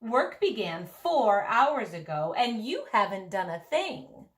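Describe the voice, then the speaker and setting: A woman's voice: mid-range voice, sing-song, slow, fairly bright tone. A woman voicing a fussy, firm female housekeeper from a storybook, emphatic and politely scolding. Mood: brisk, scolding.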